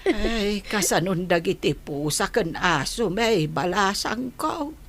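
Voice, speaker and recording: A woman's voice: medium pitch, animated, slow pace, fairly bright tone, full character voice. A woman playing an elderly, tender and forgiving village grandmother with an Ilocano accent in a radio drama. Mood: gentle.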